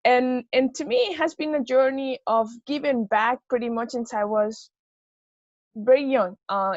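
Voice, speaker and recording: A fairly high-voiced woman from Venezuela speaks casually, filling pauses with ums and uhs. The sound is dull, lo-fi, and very dry, like a video call. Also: no room sound. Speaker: guest speaker. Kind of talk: personal story in a webinar.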